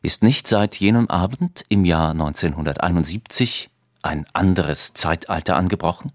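A male actor as a pensive radio-play narrator with a low voice in a dry, close studio sound. He delivers the scripted introduction soberly. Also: normal speed, no echo, lightly acted. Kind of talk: scripted audio drama introduction.

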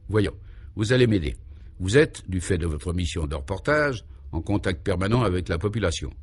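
A man voicing a troubled governor in a radio serial, grave and anxious, thinking aloud in halting, solemn phrases. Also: sits low, lively intonation, husky. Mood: pensive, searching.

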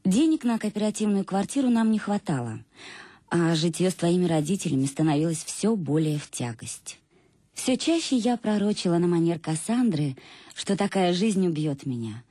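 An actress playing a wistful married woman in a radio play, giving an intimate, reflective confession in a measured way. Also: fairly low pitch, warm tone, slightly rough, no echo, studio quality. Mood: wry, weary. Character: wistful married woman recalling her fading love. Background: music, guitar.

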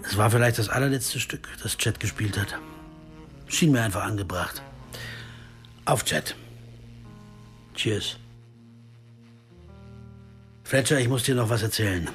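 A man's voice: on the low side, measured pace, pauses often, fairly bright tone. A man acting in a radio crime drama as a restless amateur sleuth, narrating his own story in a reflective, intimate way. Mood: reflective, confiding. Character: troubled male American amateur sleuth, introspective, driven, restless. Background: music.

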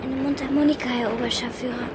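A woman's voice: high voice, steady tone, fast talker, noisy background, lightly acted. A woman in a German radio drama playing a nine-year-old refugee girl with a childlike manner. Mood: timid, obedient. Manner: childlike, timidly obedient to authority.

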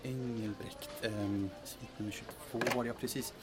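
Music plays behind a man acting as a polite hotel receptionist in a radio play. His medium-pitched voice is formal, courteous and discreet, with a chatty professional manner. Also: steady tone, close to an everyday voice. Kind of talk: audio drama acting.

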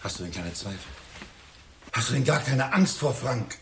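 A man's voice: mid-range voice, animated, moderate pace, a bit bright, a little hiss or hum, close to an everyday voice. An actor playing a bitter, volatile older father and jealous lover in a German radio drama, brooding and accusing. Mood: agitated, bitter. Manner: brooding, accusing, prone to agitated outbursts.

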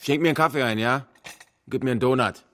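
An actor playing a foul-mouthed, cynical, corrupt city cop in a radio drama, gruff and bullying. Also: male voice, medium pitch, fast, a bit bright, natural voice. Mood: gruff, impatient.

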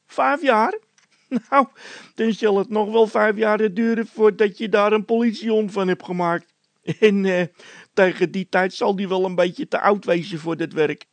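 A man doing a high-pitched, indignant, scornful workman. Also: steady tone, measured pace, dry recording. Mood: mocking amusement. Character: hot-tempered, mocking workman with a scolding streak. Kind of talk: character voice in a read-aloud comic story.

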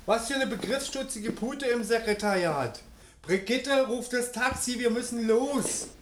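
A man's voice: very high-pitched, unhurried. A man playing an irritable, blustering middle-aged father in a German audio drama, grumbling and scolding indignantly. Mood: angry, scolding. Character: irritable, blustering father home from night shift. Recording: roomy sound with clear background noise.

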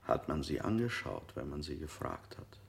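A man's voice: rumbling low, big pitch swings, normal speed, gravelly, exaggerated voice. An actor playing a cold male interrogator in a radio drama, firing unsettling questions with sudden leaps in his voice. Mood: probing.

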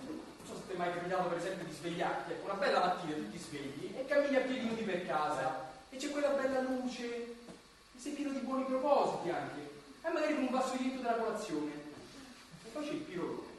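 A man's voice: sits high, sing-song, fast talker. An actor playing an exasperated, argumentative man who chairs a group session in a colloquial stage-comedy style. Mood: animated storytelling. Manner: argumentative, colloquial, breaking into exclamations. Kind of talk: theatrical comic dialogue. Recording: echoey hall, distant mic, clearly noisy.

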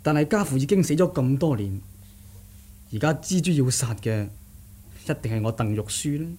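A man's voice: mid-range voice, animated, normal speed, dark tone, lightly acted. A male actor playing a determined, sardonic young martial-arts nephew in a radio drama, theatrical, scoffing and insistent. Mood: earnest, pressing. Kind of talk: Cantonese radio drama acting.

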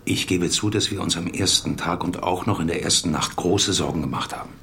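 Middle-aged doctor, very low voice, paternal, brisk, explanatory, radio drama, noisy ambience. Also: male voice, gravelly, bright, forceful tone, full character voice. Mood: earnest, explanatory. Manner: explanatory, admonishing.

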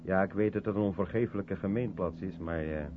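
An actor playing a reflective, sceptical man with a deliberate, wry way of talking, in 1970s radio play style. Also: sits low, narrow, tinny audio, close to an everyday voice. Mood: wry, probing. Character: reflective, sceptical South African man of colour, probing. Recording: thin, noisy old radio recording with hum.

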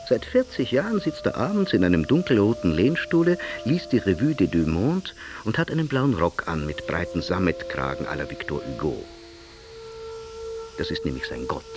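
Deep-voiced, very animated male narrator. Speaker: narrator of an audiobook. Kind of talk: expressive literary reading of autobiographical sketches. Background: music behind the voice.

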